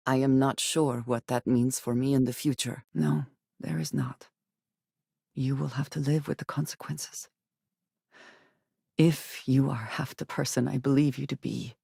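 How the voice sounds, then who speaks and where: A guarded, principled spacefaring woman for a video game. She chooses formal, measured words and speaks with earnest care. The recording is dry and close, with no room around the voice. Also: a bass voice, gravelly, a little mellow, husky, no room sound, heavily acted. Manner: formal, measured phrasing with few contractions. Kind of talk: video game character dialogue.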